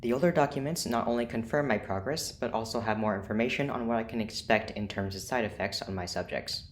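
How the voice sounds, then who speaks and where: An actor playing a formal, clinical research doctor in an audio drama, speaking in a precise, measured way. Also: mid-range voice, a little hiss or hum, lightly acted. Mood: clinical, cautiously optimistic. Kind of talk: scripted audio drama acting.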